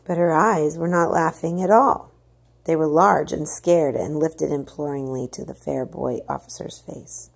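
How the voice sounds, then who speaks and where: A woman's voice: low-pitched, unhurried, vocal fry, breathy edge. A woman reading a children's story aloud with dramatic, emphatic expression. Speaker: storybook reader.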